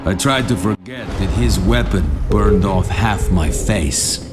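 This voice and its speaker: Deep, sardonic veteran soldier. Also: male voice, slow pace. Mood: grim. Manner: sardonic, dry. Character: bitter, defiant ex-enemy soldier turned private, scarred veteran. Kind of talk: video game cutscene acting. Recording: music behind the voice.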